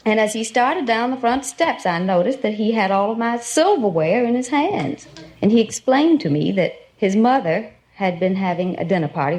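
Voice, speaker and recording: Female contestant, average pitch, 1950s radio interview, anecdotal delivery. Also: darkish tone. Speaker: quiz show contestant.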